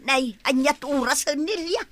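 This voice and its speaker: A woman acting an older neighbour who grumbles along in an Ilocano radio drama, with conversational, drawn-out exclamations. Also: high-pitched, fairly bright tone. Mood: mild complaint.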